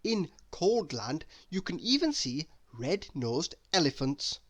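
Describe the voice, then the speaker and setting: Animated children's storyteller, very dry sound. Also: medium pitch, takes it slowly, no room sound. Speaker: storyteller reading a children's book aloud. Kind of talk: expressive read-aloud narration.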